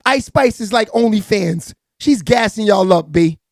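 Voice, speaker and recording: Raspy New man hyping a stream. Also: high voice, expressive, unhurried, heavy background noise. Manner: hyped, slangy, frequent shout-outs to viewers. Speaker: live-stream entertainment news host. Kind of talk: casual hip-hop gossip commentary.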